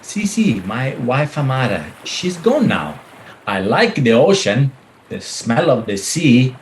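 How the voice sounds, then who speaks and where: A melodramatic Italian lover with a heavy-hearted theatrical streak. He speaks effusive broken English in an Italian accent at a slow pace. It is a radio play with faint noise behind. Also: medium pitch, big pitch swings. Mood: wistful, eager. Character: melodramatic Italian widower and smooth ladies' man.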